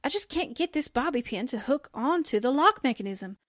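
A high-pitched, plucky newspaperwoman sidekick, slangy and jittery. She blusters and wisecracks in old radio drama style, recorded close and dry. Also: expressive, a little fast, no echo. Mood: exasperated. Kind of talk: 1940s radio drama acting.

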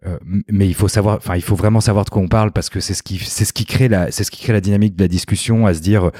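A man's voice: low-pitched, steady tone, rapid-fire, mellow, breathy edge, dry recording, studio quality. A man podcaster speaking enthusiastically and casually, with many restarts and fillers. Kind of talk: casual interview answer.

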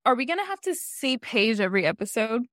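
A young woman co-hosting a podcast, gossiping in a rambling, animated way full of "like"s. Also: a little high, fast, no room sound, clean studio sound. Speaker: podcast co-host. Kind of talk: casual chat.

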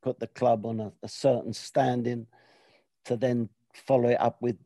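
A man's voice: medium pitch, little pitch movement, moderate pace, dark tone, no echo. A man hosting a podcast in a casual, rambling way, with many hesitations and restarts. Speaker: podcast host. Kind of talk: casual chat.

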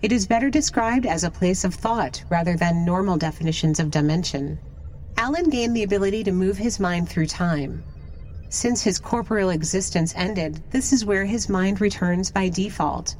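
A woman's voice: medium pitch, normal speed, a little mellow, slight background noise, close to an everyday voice. A woman playing a polite, analytical artificial intelligence in an audio drama, speaking formally and precisely. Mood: polite, explanatory.

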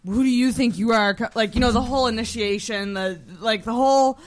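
A young woman from the Maritimes hosting a casual chat, asking curious questions and reacting with quick exclamations. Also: fairly high pitch. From Maritimes, Canada.

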